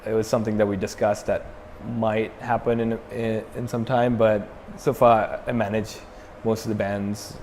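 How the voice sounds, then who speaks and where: Young guy from sri lanka, low voice, rambly and laid back, reverby room with faint hum. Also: moderate pace, hardly any pauses. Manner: relaxed, many fillers and restarts. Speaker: metal band frontman, interview guest. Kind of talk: casual interview.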